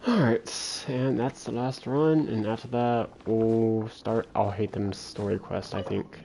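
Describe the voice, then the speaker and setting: Low, creaky-voiced man musing over a game. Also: steady tone, unhurried, mellow, slight background noise. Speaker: video game livestreamer. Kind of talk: casual solo gameplay commentary, thinking aloud. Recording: faint game music behind voice. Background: faint video game music.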